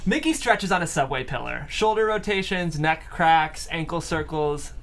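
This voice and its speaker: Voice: medium pitch, animated, moderate pace. A person reading stage directions aloud at a screenplay table read.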